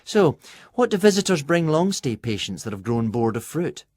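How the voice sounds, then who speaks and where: A man's voice: fairly high pitch, very animated, darkish tone, no room sound, studio quality, voice pushed up. Man acting a sassy, bossy teenage girl. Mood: teasing. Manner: brisk, mocking, emphatic.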